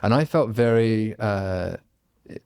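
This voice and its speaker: Low gravelly man hosting a podcast. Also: animated, slow, a little mellow. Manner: earnest and reflective. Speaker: podcast host. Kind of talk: casual podcast conversation.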